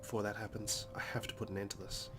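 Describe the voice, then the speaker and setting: A man doing a Let's Play, dramatically reading visual novel narration in a serious, measured storytelling manner. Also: fairly low pitch, level tone, whispered. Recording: loud music behind the voice. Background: game soundtrack music.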